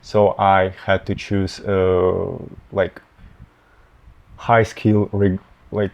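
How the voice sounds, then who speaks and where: A young man in his twenties with a Russian accent, a medical student answering interview questions haltingly, often pausing to find words. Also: deep voice, little pitch movement, gravelly, lo-fi sound. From Tatarstan, Russia. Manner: halting, searching for words, many fillers.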